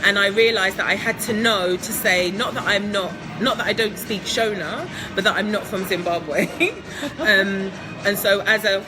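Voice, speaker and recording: A woman's voice: mid-range voice, fairly even intonation, moderate pace, over music, poor audio. A woman from England, answering interview questions in a chatty, upbeat way, laughing often and filling with ums. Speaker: guest entrepreneur.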